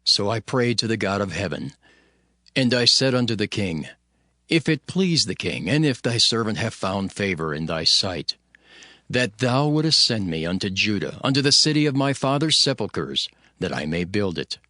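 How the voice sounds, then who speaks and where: A man's voice: lowish voice, sing-song, normal speed, sharp tone, dry recording, clean studio sound. A man giving a dramatic scripted narration of scripture, solemn and declamatory. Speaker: Bible narrator, voicing Nehemiah. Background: music.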